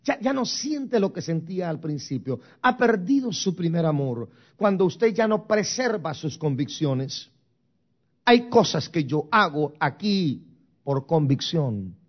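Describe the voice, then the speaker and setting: A man's voice: fairly high pitch, big pitch swings, unhurried, highs cut off. A man from Guatemala preaching a sermon as pastor, with emphatic, rising and falling intensity. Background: soft music.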